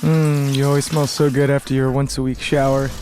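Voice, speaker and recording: Casting: man to play a soft-hearted, placating lover in post-apocalyptic radio drama, mid-pitched and intimate, faint noise underneath. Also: flat delivery, fairly quick, close to an everyday voice. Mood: tender, teasing. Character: tender placating boyfriend of a commander.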